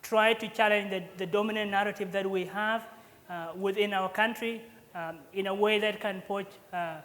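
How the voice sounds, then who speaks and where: A young man in his twenties from South Sudan giving an earnest speech as an honoree at an alumni event, pausing often with fillers and restarts. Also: high-pitched, a bit bright, big-room sound. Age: 20s. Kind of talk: prepared acceptance speech.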